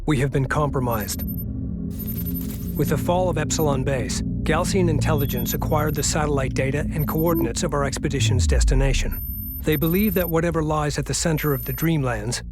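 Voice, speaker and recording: A man acting as a grave fleet commander briefs his expedition in measured, formal tones. His voice sits fairly high for a man and carries firm authority. Loud music plays behind him, as in a video game cutscene. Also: natural voice. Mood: grave, resolute. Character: grave, resolute male commander of a desert military expedition.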